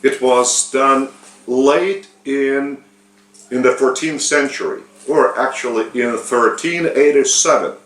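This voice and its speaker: A man from Lithuania, speaking English with a Lithuanian accent, giving an unscripted spiritual sermon with many pauses and fillers. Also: medium pitch, a bit bright, echoey room, poor audio. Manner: slow, halting, with frequent pauses and fillers. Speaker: spiritual teacher.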